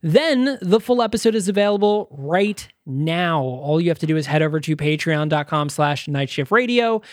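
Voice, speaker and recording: A man with a fairly high voice co-hosts a podcast in an upbeat, informal way. He talks conversationally, as if making casual announcements, and the sound is close and dry. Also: animated, normal speed, no echo. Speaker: podcast co-host. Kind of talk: casual promotional podcast chat.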